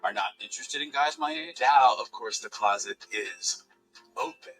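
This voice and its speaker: A man's voice: fairly low pitch, moderate pace, fairly bright tone, slightly rough, faint music behind, rough recording. A middle-aged man giving his views in an interview testimonial.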